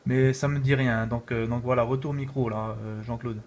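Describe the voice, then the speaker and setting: Informal Frenchman on radio, steady background noise. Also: medium pitch, normal speed. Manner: repetitive, filler-heavy, informal. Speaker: amateur radio operator hosting a contact. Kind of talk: casual radio contact chat in operator jargon.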